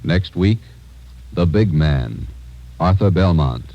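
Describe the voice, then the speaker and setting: A man's voice: low voice, expressive, slow. An American man announcing from a script in dramatic 1950s radio style. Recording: dull vintage radio recording, loud music.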